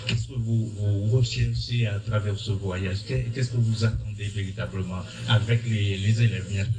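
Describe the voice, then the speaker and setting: Man, radio reporter, hesitant questions, distant mic, loud background noise. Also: lowish voice, fairly even intonation, moderate pace. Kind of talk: interview questions. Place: evening school event.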